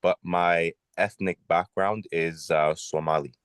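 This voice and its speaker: A man from Canada, a student briefly introducing himself in an online class. He speaks briefly and a little haltingly. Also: low-pitched, fairly even intonation, smooth. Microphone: video call.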